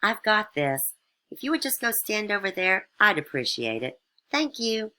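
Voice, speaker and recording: A woman's voice: mid-range voice, very animated, moderate pace, pushed and bright, voice pushed up. A woman voicing a vain, scheming, condescending duchess in a storybook tale. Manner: haughty, condescending, self-absorbed.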